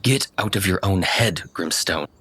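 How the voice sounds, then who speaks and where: A man's voice: low-pitched, a little slow, sharp tone, slightly rough, heavily acted. A man voicing a stern but caring woman warrior mentor in a tabletop game, with commanding, solemn encouragement.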